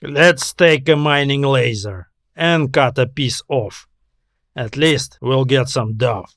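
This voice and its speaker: A man voicing a greedy, jittery male space scavenger in an audio sci-fi story, grudging and excitable. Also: medium pitch, slow pace, no echo, studio quality.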